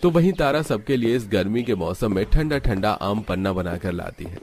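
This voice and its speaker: A man's voice: fairly low pitch, expressive, moderate pace, a little mellow, slight background noise. A man giving scripted narration for a cartoon village story.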